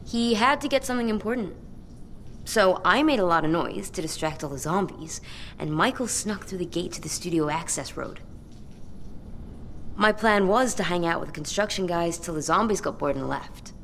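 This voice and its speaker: A woman's voice: fairly low pitch, moderate pace, slight background noise. An actress playing a dry, sarcastic young woman survivor in a video game cutscene. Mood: sardonic. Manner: deadpan, sardonic.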